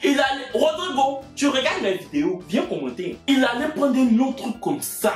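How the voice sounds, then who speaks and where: A man's voice: very high-pitched, normal speed. A man with an Ivorian French accent tells a comic personal story to his viewers and acts out each person in it. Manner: lively, acts out every voice in the story. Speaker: video creator. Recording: roomy echo, faint music.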